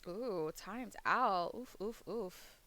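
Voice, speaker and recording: A woman streamer chatting casually on a live webcam stream, rambling, laughing often, and going hoarse as she loses her voice. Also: medium pitch, very slow, pushed and bright, dry recording. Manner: rambling, laughs often, reads chat aloud. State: losing her voice. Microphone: desk mic on a webcam stream.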